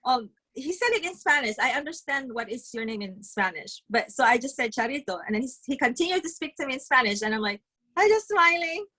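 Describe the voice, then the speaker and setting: A woman chats as a guest on a casual talk show. Her voice is high and bright, and she giggles through cheerful stories. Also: big pitch swings, brisk, low-quality recording. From Philippines. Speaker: guest, dancer. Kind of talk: casual interview chat.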